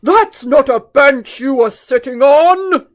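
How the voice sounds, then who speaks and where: A woman's voice: high-pitched, animated, slow. A woman doing a bellowing heroic elephant. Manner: loud bellowing shouts. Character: big heroic male elephant, stern and booming. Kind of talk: storybook read-aloud voice.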